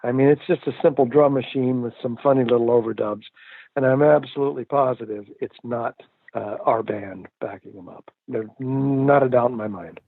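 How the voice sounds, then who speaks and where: A man's voice: mid-range voice, moderate pace, dry recording. Older man on a tinny phone line. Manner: reflective, laughs often, many fillers. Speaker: musician guest. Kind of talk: casual interview answers.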